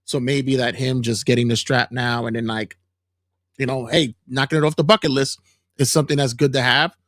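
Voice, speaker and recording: A man hosting a casual wrestling news podcast, talking in an animated, joking way. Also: medium pitch, fairly quick, no echo, studio quality. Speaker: podcast host.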